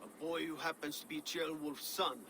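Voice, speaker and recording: Guy as a sensible viking commander, firm, fairly high voice, telling people straight, noisy game scene. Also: fairly even intonation, normal speed, close to an everyday voice. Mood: stern. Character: commanding, sensible Viking war leader, protective brother. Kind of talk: video game cutscene acting. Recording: clear background noise.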